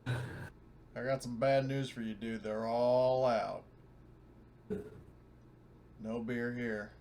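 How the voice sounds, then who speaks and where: A man of average pitch trades casual, sarcastic banter in a tabletop roleplaying game. Noticeable background noise sits behind his voice. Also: steady tone, extremely slow.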